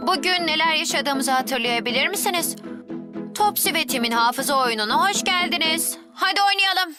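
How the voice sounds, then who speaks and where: A woman's voice: high-pitched, expressive, moderate pace. A voice actress playing a curious, eager little boy twin in a cartoon dub. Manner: eager, wide-eyed. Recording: studio dub with music bed.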